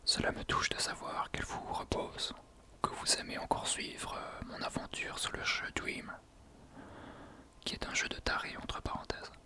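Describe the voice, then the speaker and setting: Male ASMR host, fully whispered and breathy, gentle explanatory delivery with hesitant pauses. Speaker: ASMR video creator, host. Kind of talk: whispered ASMR talk to listeners.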